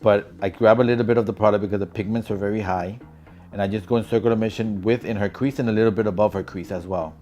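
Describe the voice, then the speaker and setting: A man chatting casually while doing a woman's makeup, mixing English and Spanish in a relaxed, talkative way. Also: on the low side, fast, lo-fi sound, faint music behind. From born in New York, raised in Puerto Rico. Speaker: makeup artist.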